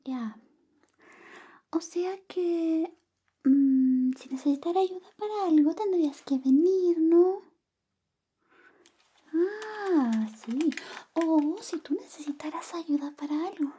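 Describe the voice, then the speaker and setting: A young woman playing a coy, jealous best friend in a soft, whispery ASMR personal attention roleplay. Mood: coy teasing. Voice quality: soft, whispery, high-pitched. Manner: slow, coy, murmuring. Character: jealous female best friend secretly in love, teasing, caring.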